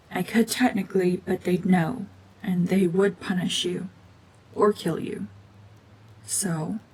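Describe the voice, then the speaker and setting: Slow, hushed, cold cyborg witch played by a woman. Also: on the low side, a little hiss or hum, poor audio. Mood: cold, controlled. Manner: hushed, breathy, often whispering; terse, commanding, guarded. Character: renegade mutant cyborg gorgon witch, female, cold, domineering, slowly softening. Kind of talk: ASMR roleplay drama.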